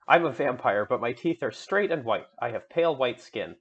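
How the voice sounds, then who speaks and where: A melodramatic goth vampire schoolgirl , as acted out by a man, tells her fan fiction story. The voice is raspy and overwrought, with petulant emphasis. The recording is a little dull and lo-fi. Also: medium pitch, animated, moderate pace, fairly bright tone. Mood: self-absorbed, dramatic. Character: melodramatic seventeen-year-old goth vampire schoolgirl narrator. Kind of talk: dramatic fan fiction reading.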